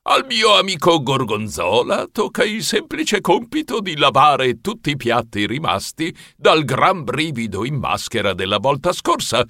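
A ceremonious, slyly gloating figure from a spooky comic audiobook. The voice is forceful and bright, moving slowly with big swings of pitch. Every phrase is mock-solemn and relished, recorded very dry. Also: male voice, very animated, dry recording, exaggerated voice. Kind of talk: audiobook character acting.

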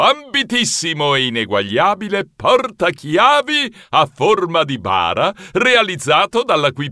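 A ceremonious, slyly gloating figure from a spooky comic audiobook. The voice is forceful and bright, moving slowly with big swings of pitch. Every phrase is mock-solemn and relished, recorded very dry. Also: male voice, dry recording, full character voice. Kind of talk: audiobook character acting.

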